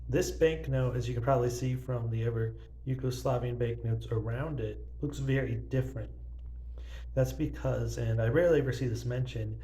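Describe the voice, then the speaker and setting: A man's voice: mid-range voice, warm tone, a little hiss or hum, poor audio. A young man casually showing his banknote collection to a phone camera, rambling with lots of fillers. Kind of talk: casual show-and-tell narration. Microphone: phone filming banknotes.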